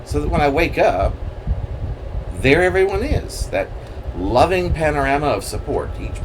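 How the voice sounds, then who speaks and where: A man reading his own poem aloud in a theatrical, halting manner with swooping emphasis. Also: on the high side, sing-song, noisy background. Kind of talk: dramatic poetry reading.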